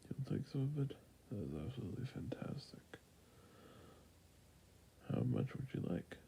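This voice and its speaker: A man playing a softly whispering fantasy shopkeeper. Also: very animated, moderate pace. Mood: soft, helpful. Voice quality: breathy soft near-whisper with creaky low ends. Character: friendly patient fantasy shopkeeper selling adventuring gear. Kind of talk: ASMR roleplay, whispering and soft speech.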